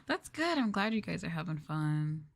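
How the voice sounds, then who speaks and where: Woman streamer, casual chat, rambling, giggly, slightly low voice. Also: creaky. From United States. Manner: rambling, filler-heavy with lots of "like"; giggly and playful. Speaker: VTuber streamer.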